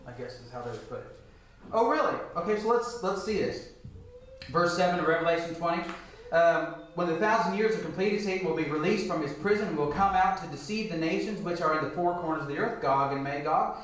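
A middle-aged man with a fairly high voice delivers a Bible lecture in a very echoey church meeting room. He sounds distant from the microphone and likes to put questions to his listeners and answer them himself. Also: fairly bright tone, slight background noise. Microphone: picked up from a distance.

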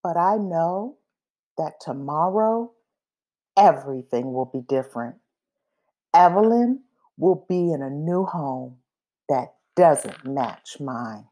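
A woman reading a children's picture book aloud expressively, pausing between phrases and acting out the dialogue. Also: low voice, animated, mellow. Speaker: storybook reader.

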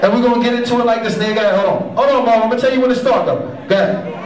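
Very high-pitched man emceeing in echoey hall. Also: rapid-fire. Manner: informal, slangy, shouting out. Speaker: event emcee. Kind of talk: hyped stage introduction. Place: large event hall, on stage. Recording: echoey hall, loud crowd noise.

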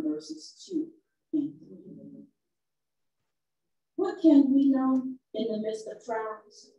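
A woman minister giving a measured, emphatic devotional reading in a church sanctuary. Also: a little high, mellow, muffled recording. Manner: measured, emphatic pulpit delivery. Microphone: far camera. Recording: echoey church from far off.

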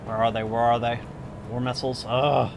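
A man's voice: mid-range voice, level tone, normal speed, vocal fry, background noise. A man giving casual gameplay commentary, muttering reactions to himself as he plays. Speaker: gamer doing a playthrough. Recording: game music behind the voice. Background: video game music and vehicle sounds.

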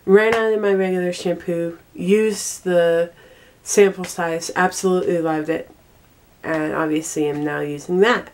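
A young woman talks casually through a cold, as if sharing favorites in a vlog. Her voice sits slightly low for a woman. She goes slowly and haltingly, stopping and starting as she speaks. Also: fairly even intonation. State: congested with a cold. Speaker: vlogger. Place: small bedroom, indoors.

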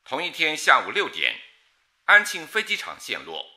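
An actor playing a solemn, urgent 1930s wartime radio announcer, reading a bulletin in a formal, declamatory way. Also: male voice, a little high, huge pitch swings, moderate pace, bright, forceful tone, exaggerated voice. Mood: grave, urgent.

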